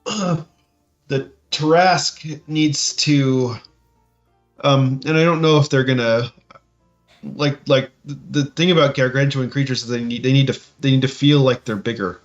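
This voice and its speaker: A man chats casually as a podcast co-host, with a middling pitch and slightly muffled, lo-fi sound. He speaks haltingly, repeats his phrases and often seeks agreement. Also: fairly even intonation, soft background music. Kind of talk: casual discussion of tabletop roleplaying games.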